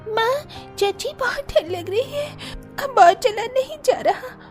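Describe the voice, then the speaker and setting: A woman's voice: very high-pitched, expressive, measured pace, faint music behind. A woman voicing a small frightened child in a cartoon drama, tearful and pleading. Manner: whimpering, pleading.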